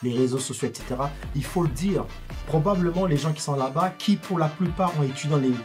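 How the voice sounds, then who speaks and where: A man of Congolese and Angolan roots, a podcast guest, speaking thoughtfully with many pauses and repeats. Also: a little high, darkish tone, soft background music. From Congo-Brazzaville and Angola. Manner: thoughtful, hesitant, with many fillers and repeated words. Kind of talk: casual podcast chat.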